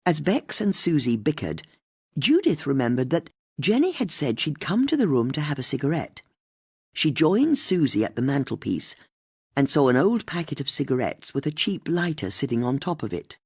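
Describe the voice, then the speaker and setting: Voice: medium pitch, big pitch swings, normal speed, slightly rough, no room sound, studio quality. An audiobook narrator reading scripted mystery fiction.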